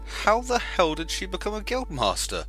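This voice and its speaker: A man's voice: sits high, huge pitch swings, moderate pace, music in the background. A man playing an exasperated, sceptical young adventurer, incredulous and emphatic, in an anime parody dub.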